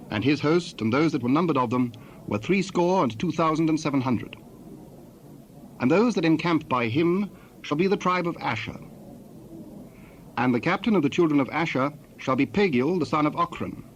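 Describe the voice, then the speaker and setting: A man with a fairly high voice narrates scripture formally. His reading is measured and scripted. The recording is a little muffled, with faint noise behind him. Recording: dull sound, faint background noise.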